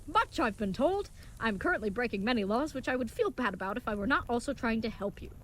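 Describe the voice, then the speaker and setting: A woman's voice: on the high side, lively intonation, quick pace, a bit bright, slight background noise. A woman playing an earnest, naive, chivalrous young knight in an audio comedy, speaking with stilted, wordy politeness. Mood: eager, polite. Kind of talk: scripted audio comedy acting.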